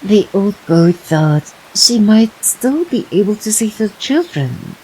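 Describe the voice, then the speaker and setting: A woman streamer gently narrating fairytales for bedtime in an unhurried way. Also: medium pitch, sing-song, slight background noise, rough recording.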